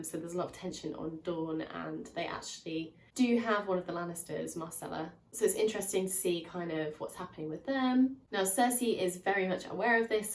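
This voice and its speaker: A woman's voice: on the low side, echoey room, far from the mic, slight background noise, rough recording. A woman reviewing a book to her viewers in a chatty way, sounding slightly nasal and stuffed up from a lingering cold. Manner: chatty, recaps plots with frequent "um" and "kind of". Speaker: book reviewer and channel host. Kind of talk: casual spoiler-filled book review to camera.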